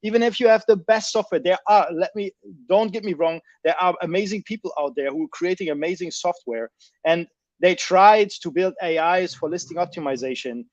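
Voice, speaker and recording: High-voiced man on dull, dry audio. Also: fairly quick, no echo. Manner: emphatic, repeats and rephrases himself. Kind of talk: explanatory business discussion.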